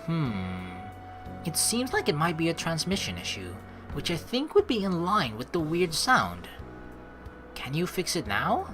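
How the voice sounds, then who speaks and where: A woman playing through a game as host, reading its text aloud and chatting with playful, expressive commentary. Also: low-pitched, a little slow, soft background music. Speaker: let's play host.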